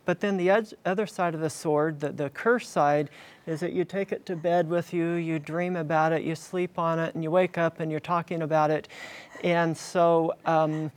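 A man's voice: fairly high pitch, steady tone, moderate pace, clean tone. A man speaking as an interview guest about his work, explaining things earnestly in a conversational way. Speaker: interview guest, ministry worker.

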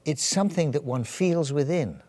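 An English man, a church health director and physician, answering interview questions in a measured way, with fillers and restarts. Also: on the high side, expressive, warm tone, no echo. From England.

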